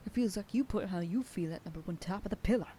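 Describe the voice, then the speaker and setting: A woman's voice: medium pitch, fast talker, a little hiss or hum. Woman as a scolding werewolf sheriff girlfriend. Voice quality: soft whisper in quieter passages. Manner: scolding, then softening into tender whispers. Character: protective werewolf sheriff girlfriend, stern but loving. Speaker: voice actor in an audio roleplay. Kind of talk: ASMR roleplay, speaking to the listener.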